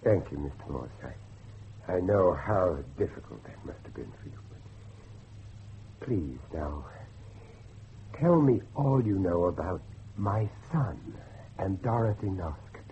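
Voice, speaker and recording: An actor playing an anguished middle-aged father and judge, intense and halting, in a horror audio drama. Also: low voice, expressive, mellow, exaggerated voice. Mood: grave, restrained. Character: anguished older father, a judge hunting his lost son. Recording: muffled lo-fi recording with faint noise.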